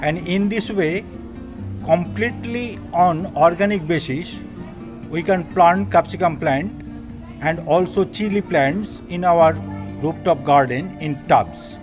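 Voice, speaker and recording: An older Bengali-accented man talks outdoors on a rooftop into a phone held at arm's length. His voice sits a little high for a man, and his phrasing is earnest and repetitive. Soft music plays faintly behind him. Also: slow pace, lo-fi sound. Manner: earnest, repetitive phrasing, addresses viewers as dear friends. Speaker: gardening channel host. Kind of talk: casual gardening vlog tour.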